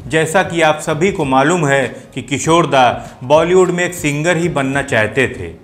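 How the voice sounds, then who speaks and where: A man's voice: on the high side, measured pace, fairly bright tone. A man hosting and explaining things to viewers in video commentary. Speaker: host of a film music show. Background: music playing behind the voice.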